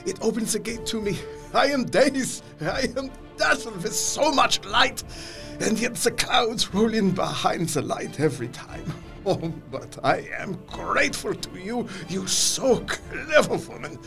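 Casting: effusive older foreign doctor for gothic audio drama; gravelly creak, raised pitch, florid and exuberant. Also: male voice, very animated, unhurried, a bit bright, faint music behind, exaggerated voice. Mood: delighted, effusive.

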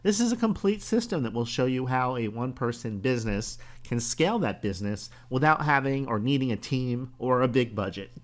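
A man with a hoarse voice hosting a solo podcast, talking in a conversational, musing way. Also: mid-range voice, expressive. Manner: conversational, musing aloud with pauses and small laughs. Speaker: podcast host.

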